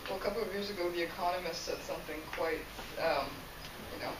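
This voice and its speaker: High-voiced quick male interviewer. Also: steady tone. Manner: hesitant questions with fillers. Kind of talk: casual interview conversation. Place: large roomy event space. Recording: echoey room, distant mic, background noise.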